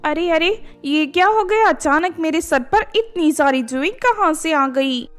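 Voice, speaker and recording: A woman puts on a scheming mermaid from a cartoon fairy tale. She is spiteful and theatrical, with a very lively melody to her lines. Light music plays behind her. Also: extremely high pitch, a little slow, voice pushed up. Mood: panicked. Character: spiteful scheming female mermaid, catty and dramatic. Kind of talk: Hindi animated fairy-tale voice acting.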